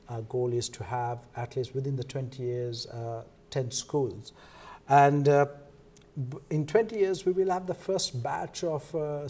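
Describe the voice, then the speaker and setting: A man with a Sri Lankan accent answers as an interview guest. His tone is soft-edged and mellow at a middle pitch, and he pauses mid-sentence as he explains. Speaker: interview guest, foundation co-founder. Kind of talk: televised interview, explanatory talk.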